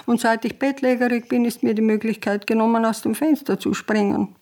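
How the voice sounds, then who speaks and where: An actress playing a frail, weary old former stage diva in a care home, theatrical and languid, in a radio play. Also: female voice, fairly high pitch, fairly even intonation, a little fast, a little mellow, natural voice. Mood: weary, bitter. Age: older. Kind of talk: radio drama acting.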